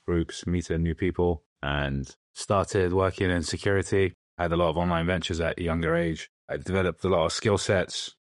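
A man's voice: rumbling low, no echo, clean studio sound. A man, a guest telling his own story in a plain, matter-of-fact way. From London, England. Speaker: interview guest. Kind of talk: casual personal account.

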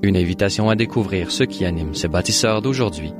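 A man's voice: sits low, animated, fairly quick, loud music behind the voice. A man, a radio host interviewing a guest in a friendly way with frequent hesitations. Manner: friendly, hesitant with many euh.

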